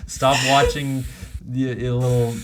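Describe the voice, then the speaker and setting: A man's voice: mid-range voice, little pitch movement, unhurried, noisy background. A man hosting a casual comedy podcast quiz, playfully teasing the others as he goes. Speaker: comedy podcast host and quizmaster.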